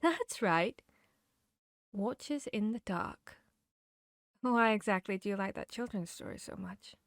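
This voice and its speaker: A woman playing a confiding, bookish vampire hunter in a fantasy ASMR roleplay, talking intimately with pauses. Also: medium pitch, expressive, no room sound, studio quality. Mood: curious, gentle. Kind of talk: ASMR roleplay audio drama.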